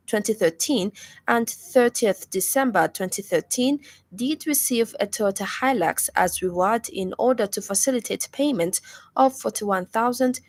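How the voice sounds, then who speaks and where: Zambian woman reading formal radio news. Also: mid-range voice. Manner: formal, measured delivery. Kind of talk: scripted news bulletin.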